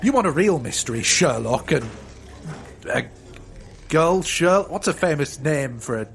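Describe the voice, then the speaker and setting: An actor playing a brash, greedy, boastful whale-oil tycoon in a comedic audio drama, with a bombastic, blustering delivery. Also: male voice, on the high side, animated, measured pace, vocal fry, a bit bright, heavily acted.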